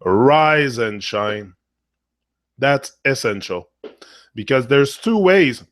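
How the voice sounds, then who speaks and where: A man who is losing his voice, hosting a casual spiritual monologue and talking in a rambling, halting way with frequent fillers and repeated phrases. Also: lowish voice, a bit bright, dry recording, studio quality. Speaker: motivational channel host.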